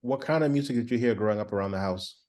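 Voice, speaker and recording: A man interviewing a guest, asking short questions and giving relaxed, agreeable replies. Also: mid-range voice, racing.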